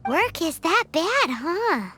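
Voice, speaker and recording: An actress playing a tiny, cheeky, childlike companion creature in a video game, squeaky and blunt. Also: female voice, very animated, takes it slowly, bright, forceful tone, faint music behind, heavily acted. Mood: skeptical.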